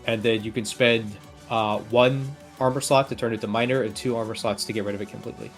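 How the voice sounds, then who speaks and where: A man's voice: mid-range voice, steady tone, fast. Casual male game master over music. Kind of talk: narrating a tabletop game, casual and improvised.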